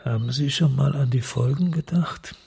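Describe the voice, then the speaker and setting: Gravelly, very husky police chief. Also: male voice, medium pitch, moderate pace, warm tone, exaggerated voice. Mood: challenging. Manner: terse, skeptical. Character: senior police chief, skeptical, terse, authoritative. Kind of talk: German crime radio drama acting.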